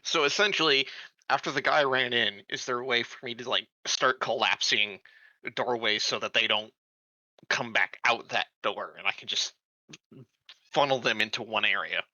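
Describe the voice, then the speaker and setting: A man's voice: medium pitch, normal speed, pushed and bright, no room sound, dull audio. A man talking casually as a player in a tabletop roleplaying game.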